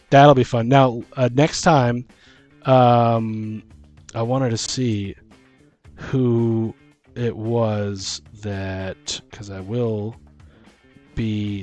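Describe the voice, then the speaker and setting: Excitable man brainstorming over background music. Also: medium pitch. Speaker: host and dungeon master on a tabletop gaming show. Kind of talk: casual brainstorming chat.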